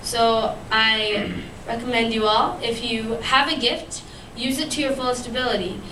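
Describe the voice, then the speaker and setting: A woman's voice: fairly high pitch, steady tone, normal speed, bright, forceful tone. Earnest young woman giving a church devotional. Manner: earnest, many ums and restarts. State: recovering from a lost voice. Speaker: youth speaker at church fellowship. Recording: echoey room, distant mic, noisy.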